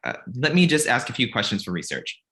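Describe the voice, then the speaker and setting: Man playing wry filmmaker with lively pitch. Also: a little fast. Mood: persuasive. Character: Ryan, glib, self-deprecating aspiring filmmaker. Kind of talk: table read comedy acting.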